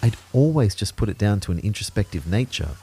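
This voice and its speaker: A man's voice: on the low side, lively intonation, brisk, warm tone, slightly rough. A man playing an anxious, introspective man who narrates his own story in a reflective, wry way for an audio drama. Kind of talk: first-person scripted audio drama narration.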